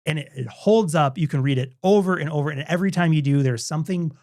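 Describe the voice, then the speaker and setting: Upbeat guy co-hosting a podcast, kinda high voice, dry close mic, keeps restarting his sentences when he gets excited. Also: no room sound. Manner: eager, chatty, many restarts and quick laughs. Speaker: podcast co-host. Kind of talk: casual enthusiastic chat.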